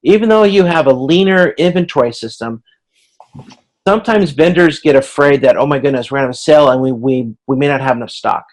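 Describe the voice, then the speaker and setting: Man giving a halting, informal online lecture. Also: mid-range voice. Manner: informal, halting delivery with repeated words. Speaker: university instructor.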